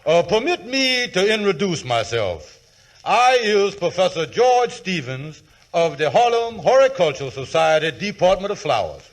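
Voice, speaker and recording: Animated, high-pitched scheming con man. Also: normal speed, poor audio. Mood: grandiose, courtly. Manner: folksy, ungrammatical, grandiose. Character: Kingfish, sly scheming smooth-talking con man posing as professor. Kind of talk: 1940s radio comedy acting. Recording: vintage 1940s radio broadcast.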